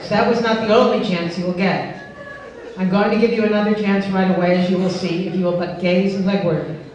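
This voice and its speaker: A woman's voice: medium pitch, steady tone, fairly quick, a little mellow, highs cut off, lightly acted. A woman voicing an obsessive, pushy, self-centred dog with pompous, insistent phrasing in a live comic story reading. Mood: earnest, coaxing. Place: live benefit event before a laughing audience. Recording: echoey noisy hall, far from mic.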